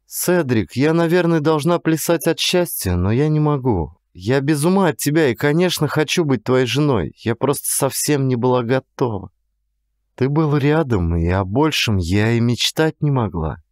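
A man voicing a playful, tender young woman with expressive, emotional delivery in an audiobook. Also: medium pitch, moderate pace, no echo, clean studio sound, pitched above the performer's own voice, lightly acted. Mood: moved, tender. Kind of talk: audiobook character dialogue.

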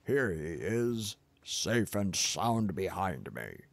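Overbearing sardonic professor for an audiobook, played by a male narrator: gravelly, slow, gruff, mocking. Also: big pitch swings, full character voice. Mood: dry, unruffled. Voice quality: deep rumbling, gravelly.

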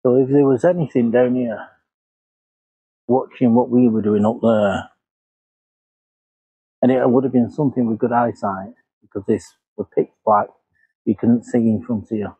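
A man's voice: medium pitch, steady tone, normal speed, long pauses, dark tone, slightly rough, no echo, muffled recording. Man musing in woodland. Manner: musing, retracing steps aloud. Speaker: paranormal investigator. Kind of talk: casual walk-and-talk vlog. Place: woodland outdoors. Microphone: clip-on lapel mic.

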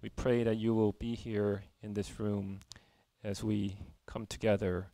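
Low, flat-voiced man reading scripture and praying, dry close sound. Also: no echo. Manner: measured, reverent reading. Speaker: church service leader. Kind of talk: call to worship reading and prayer. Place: church.

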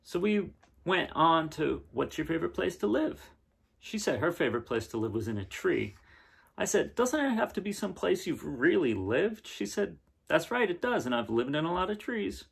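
Husky, creaky-voiced man reading aloud. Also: a little high, sing-song, normal speed. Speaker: reader of children's picture books. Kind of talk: gentle read-aloud storytelling and casual chat. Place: small living room indoors, seen on camera.

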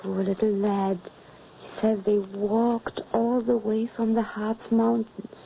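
A gentle, motherly wife, speaking slowly and soothingly. It is vintage radio drama with a dull sound and faint noise. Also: medium pitch, level tone, vocal fry. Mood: tender concern. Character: gentle motherly wife comforting a frightened boy. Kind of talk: 1940s radio acting. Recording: muffled, noisy 1940s radio recording.

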